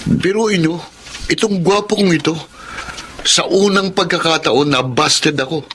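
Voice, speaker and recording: A vain young Filipino suitor. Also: male voice, on the high side, a little slow, fairly bright tone, slight background noise. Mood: wounded pride. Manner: boastful, insistent. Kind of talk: Tagalog radio drama acting.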